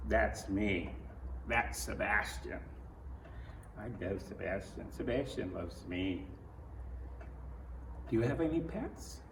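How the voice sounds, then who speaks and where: Slow-paced man reading to children, roomy sound. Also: lowish voice. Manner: deliberate, simple phrasing, asks the listener questions. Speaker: children's picture book reader. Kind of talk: read-aloud to children with discussion questions. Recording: roomy, distant mic, clearly noisy.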